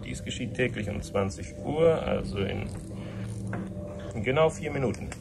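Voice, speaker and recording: An actor playing a courteous, formal official who explains things to visitors in a 1970s radio play. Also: male voice, mid-range voice, animated, measured pace, gravelly, over loud music. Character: courteous, formal male official guiding visitors through a command centre. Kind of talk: 1970s German radio drama acting. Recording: noisy 1970s radio broadcast recording.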